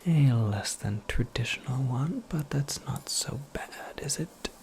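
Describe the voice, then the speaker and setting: A performer voicing an eccentric, absent-minded witch in a breathy, creaky ASMR roleplay, rambling with wry, cryptic politeness. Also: medium pitch, lively intonation, unhurried, darkish tone, slight background noise. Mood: musing, cryptic. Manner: rambling, whimsical, politely formal, self-correcting. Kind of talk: soft-spoken ASMR roleplay monologue.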